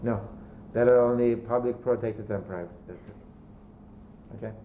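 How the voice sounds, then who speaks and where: A man's voice: medium pitch, moderate pace, slight background noise. Turkish-accented man lecturing in an echoey room. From Turkey. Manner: repeats words, checks in with "okay?" and "right?". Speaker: university lecturer. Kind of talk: classroom lecture. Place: classroom. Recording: dull, echoey lecture hall recording.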